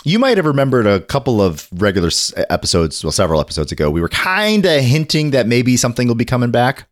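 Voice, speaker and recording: A man co-hosting a podcast in a casual chat, slightly gravelly from a head cold, animated and jokey. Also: mid-range voice, fast talker. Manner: animated, jokey, drifts into bits and riffs. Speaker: podcast co-host.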